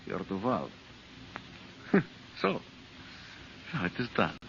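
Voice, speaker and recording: Sly megalomaniac scientist villain, low voice that swings around a lot, old radio drama. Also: male voice, big pitch swings, takes it slowly, gravelly, a bit bright, heavily acted. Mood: wary, brooding. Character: scheming megalomaniac male scientist villain, falsely meek, cunning. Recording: dull, noisy old radio recording.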